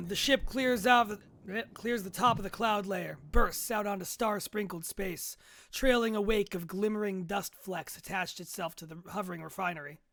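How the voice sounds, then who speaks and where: A man with a notably high voice and a lot of fry narrates a science fiction screenplay. He reads scene headings and descriptive action at a table read. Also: moderate pace, a bit bright, no room sound. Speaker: narrator reading stage directions.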